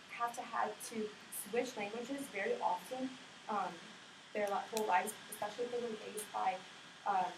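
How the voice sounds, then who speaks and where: A young woman delivers an informative class speech, earnest and often restarting her sentences. Her voice sits in a middling pitch range. The recording is distant and roomy, with a faint hiss in the background. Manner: earnest, frequent restarts and self-corrections. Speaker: student giving a class speech.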